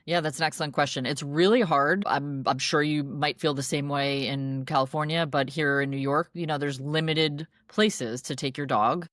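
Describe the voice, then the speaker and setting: A woman guest in a podcast interview, upbeat and expressive, with frequent restarts and laughs. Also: sits low, normal speed, hardly any pauses, no room sound, studio quality. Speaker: guest, dog meetup organizer. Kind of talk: casual interview chat.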